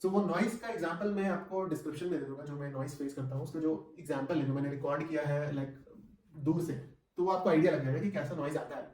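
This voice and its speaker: A man's voice: on the high side, fast talker, darkish tone. A man giving a casual, conversational product review, mixing in English phrases and often checking in with short tag questions. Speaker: vlogger reviewing gear. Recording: echoey room, distant microphone.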